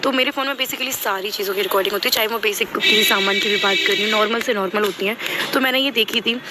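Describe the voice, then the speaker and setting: A woman's voice: mid-range voice, fast. A woman vlogger speaking casually to her viewers, earnest and explanatory. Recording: clear vehicle and ambient noise.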